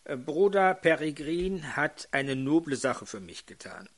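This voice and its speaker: An older man preaching a sermon in a hoarse voice, putting questions to his congregation. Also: fairly high pitch, sing-song, a little slow.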